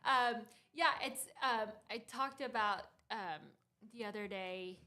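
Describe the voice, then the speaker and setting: A woman's voice: medium pitch, slow pace, bright, forceful tone. A woman in her thirties from Costa Rica, a startup founder talking as a guest in a studio interview, laughing often and using many fillers and restarts. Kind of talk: casual interview conversation.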